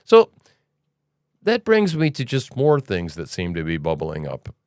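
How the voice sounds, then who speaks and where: A man with a low, smooth voice delivers casual, opinionated commentary as a podcast host. His delivery swings widely in pitch as he rambles and drops sarcastic asides. The sound is close and dry. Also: wildly swooping pitch, measured pace, darkish tone, dry recording. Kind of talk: casual conservative news commentary.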